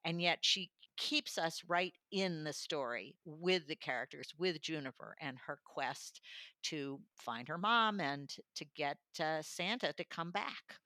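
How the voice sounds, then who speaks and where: A woman's voice: low-pitched, lively intonation, a little slow, bright, forceful tone, no echo. A woman giving a conversational book review as an interview guest. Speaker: audiobook reviewer, studio guest.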